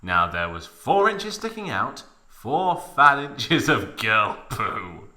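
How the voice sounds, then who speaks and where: Theatrical male narrator, wildly animated. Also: mid-range voice, takes it slowly. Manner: theatrical, exaggerated emphasis. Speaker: narrator of a fan fiction reading. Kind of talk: mock dramatic reading with comic asides.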